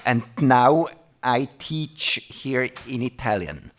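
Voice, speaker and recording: A speaker from Switzerland, who is not an English speaker, chairing an academic panel and introducing the speakers in halting, hesitant English. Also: medium pitch, expressive. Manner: halting, searching for words with many pauses.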